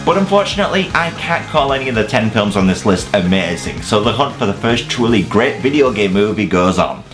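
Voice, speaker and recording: A man's voice: on the low side, very animated, a little fast, hardly any pauses, over music. A man giving a casual, opinionated scripted video review, emphatic and sweary with self-deprecating humour. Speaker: video reviewer presenting a top ten list.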